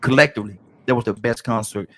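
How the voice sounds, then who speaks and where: A man hosting a call-in talk show, easygoing and chatty. His voice sits at an ordinary middle pitch, and he often stumbles and restarts his sentences. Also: fast talker, a bit bright. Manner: laid-back, stammering restarts, frequent "man". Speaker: radio show host. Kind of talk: casual call-in show chat.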